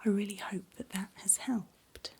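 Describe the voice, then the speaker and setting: A middle-aged woman whispering breathily in a gentle, reassuring ASMR medical exam roleplay, playing a doctor giving close personal attention. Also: medium pitch, flat delivery, unhurried, creaky.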